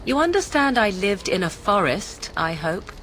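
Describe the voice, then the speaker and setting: A woman's voice: medium pitch, very animated, normal speed, a bit bright, slight background noise. An actress playing a haughty, sardonic young witch in a fantasy game, arch and disdainful, with theatrical old-fashioned phrasing. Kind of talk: fantasy video game voice acting.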